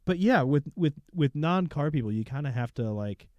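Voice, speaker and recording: A man's voice: fairly high pitch, expressive, moderate pace, a little mellow, no room sound, lo-fi sound. Dry-voiced joking podcast man. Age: 30s. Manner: wry, self-deprecating joker. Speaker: podcast co-host. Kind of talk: casual car podcast chat.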